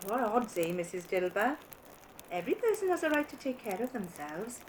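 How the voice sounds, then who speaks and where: A woman's voice: mid-range voice, very animated, moderate pace, a little hiss or hum, natural voice, rough recording. An actress playing a brazen, self-justifying thieving charwoman in an audio drama. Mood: brazen, scornful.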